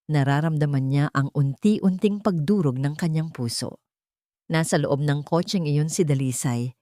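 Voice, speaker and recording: A woman with a Filipino accent reading a dramatic romance story in a measured, solemn way. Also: low-pitched, a little mellow, dry recording, studio quality. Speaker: story narrator.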